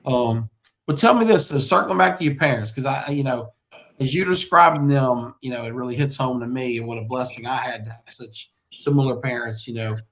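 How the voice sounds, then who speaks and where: A man's voice: medium pitch, brisk, a bit bright, poor audio. A middle-aged man hosting a conversational local interview show, speaking in an effusive, affirming way. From small-town Louisiana, United States.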